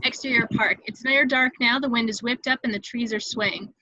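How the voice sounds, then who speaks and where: A woman narrates a screenplay's scene directions. Her voice sits a little high and sounds bright and crisp in its attack, though the recording is somewhat dull and lo-fi. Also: fairly even intonation, moderate pace, a little hiss or hum. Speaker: narrator reading stage directions in a table read.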